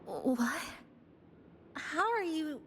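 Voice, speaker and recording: A woman voicing a reserved, earnest young woman in a video game, speaking softly and hesitantly in measured phrases. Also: high-pitched, sing-song, slight background noise, full character voice. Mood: surprised. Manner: soft, hesitant, measured, with pauses. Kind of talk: video game story dialogue.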